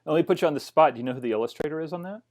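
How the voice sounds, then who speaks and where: A man's voice: a little high, racing. A man in his forties hosting a casual interview from a home study, asking questions with eager, joking asides. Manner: jokey, enthusiastic questioning. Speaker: podcast host. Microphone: earbuds on video call.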